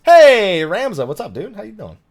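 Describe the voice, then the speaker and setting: A man live streaming a game, commenting with excitable interjections and sarcastic asides and reading dialogue aloud. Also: high-pitched, normal speed, soft background music.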